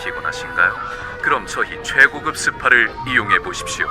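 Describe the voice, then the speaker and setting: A man's voice: fairly low pitch, animated, a little fast, music in the background, natural voice. A man in an amateur film dub, playing a hotel's promotional announcer with a polished, inviting sales delivery. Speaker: amateur voice actor.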